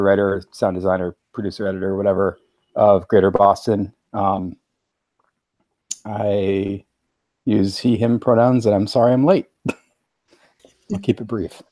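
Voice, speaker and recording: Male podcast panelist, lowish voice, casual, very dry recording. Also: fairly even intonation, a little slow, pauses often, warm tone, no room sound. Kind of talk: casual self-introduction. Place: remote video call.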